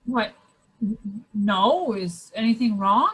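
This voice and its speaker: A woman's voice: a little high, animated, slow, a bit bright, low-quality recording. A woman playing a kindly, concerned male next-door neighbour in a radio drama, speaking earnestly and with sympathy. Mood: surprised concern.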